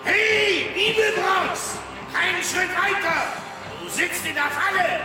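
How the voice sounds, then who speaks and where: A threatening space policeman yells in an extremely high voice from far away. Heavy echo and music surround the radio-comedy shouts. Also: fairly even intonation, slow, crowd noise. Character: shouting, menacing galactic cop at a standoff. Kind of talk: German radio comedy acting. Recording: distant, heavily echoing hall with music.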